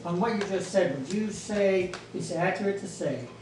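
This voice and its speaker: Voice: mid-range voice, moderate pace. An audience member poses a question, choosing the words carefully. The voice is far from the mic, wrapped in heavy room echo with a faint hiss behind it. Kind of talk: question from the floor.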